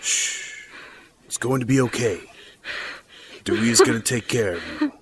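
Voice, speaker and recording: A man's voice: on the low side, sing-song, moderate pace, bright, forceful tone, slightly rough, exaggerated voice. A man playing a scheming, foul-mouthed secret lover in a horror audio drama, with a dramatic delivery. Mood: soothing.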